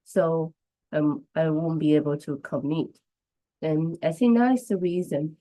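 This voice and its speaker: A woman whose first language is Chinese hosts a casual live stream, her voice strained by the flu. Her tone is dark and mellow, pitched slightly low, and she chats loosely, restarting phrases and greeting viewers by name as they arrive. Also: a little slow. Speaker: livestream host.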